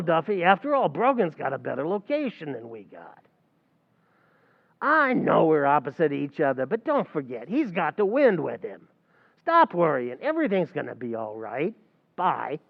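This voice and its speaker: A man's voice: on the high side, vocal fry. A man playing a brash, scheming tavern manager in an old-time radio comedy recreation, wisecracking and mangling big words. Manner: wisecracking, malaprop-prone, brash. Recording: tinny, phone-like recording.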